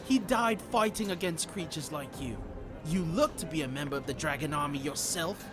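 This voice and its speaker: A man's voice: a little high, lively intonation, normal speed, voice pushed up, lightly acted. A man voicing a hostile, spiteful elf duelist in a fantasy storybook, with a contemptuous, accusing manner. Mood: bitter, accusing. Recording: narration over loud background music.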